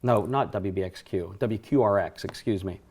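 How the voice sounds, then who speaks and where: A man's voice: on the low side, normal speed, darkish tone, a little hiss or hum. A middle-aged man from western Pennsylvania, a racing announcer guest, reminiscing in casual studio chat with fillers and self-corrections. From Johnstown, Pennsylvania area.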